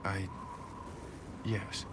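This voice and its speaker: A man voicing an earnest, troubled military man in a video game, speaking formally and haltingly. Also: a bit bright, a little hiss or hum. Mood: awkward gratitude. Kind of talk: video game dialogue acting.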